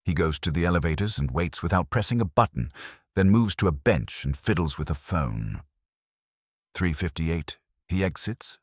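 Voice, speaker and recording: Male narrator, wry first-person detective fiction. Very low, slightly rough voice, close dry sound. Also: dry recording.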